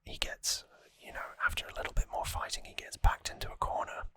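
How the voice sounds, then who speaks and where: An ASMR host whispering an explanatory recap in a hushed, unhurried manner, close to the microphone.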